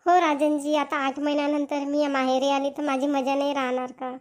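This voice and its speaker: A woman acts out a chatty village wife from a homely Marathi family cartoon. Her voice is high, with a Varhadi accent from Vidarbha. She talks in a plain, conversational way, like everyday family dialogue. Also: little pitch movement, fairly quick, lightly acted. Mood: happy, chatty.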